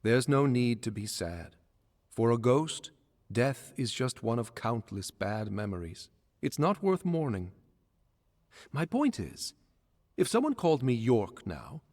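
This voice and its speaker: A wry male ghost from a video game, once a servant at court. His courteous, musing lines swing freely up and down in pitch. A long reverb trails every phrase. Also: sing-song, unhurried, darkish tone. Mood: gently philosophical. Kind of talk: scripted video game character dialogue.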